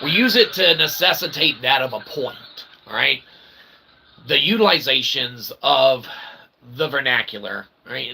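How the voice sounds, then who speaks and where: A man hosting a philosophy show with a rambling monologue, wordy and mock-formal, in a gravelly voice with pompous archaic phrasing. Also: medium pitch, pushed and bright. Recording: clearly noisy recording.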